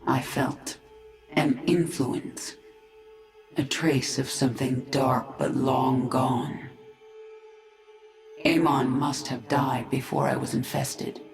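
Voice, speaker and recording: A woman's voice: very deep voice, fairly even intonation, takes it slowly, gravelly, pauses often, faint music behind, heavily acted. A cool, confident swarm queen. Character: powerful reborn alien swarm queen. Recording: roomy echo.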